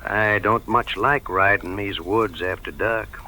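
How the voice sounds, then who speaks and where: Man playing a sharp-edged, folksy frontier sidekick. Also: fairly low pitch, a little slow, a little hiss or hum, low-quality recording. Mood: uneasy. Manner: folksy rural Western drawl, blunt. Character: folksy, wary frontier sidekick, blunt and worried. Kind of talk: audio drama acting.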